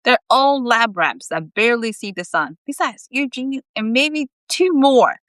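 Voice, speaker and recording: A woman's voice: on the high side, lively intonation, unhurried, fairly bright tone, no echo, pitched above the performer's own voice. A woman reading the part of a sceptical female army veteran in a storybook, blunt and exasperated.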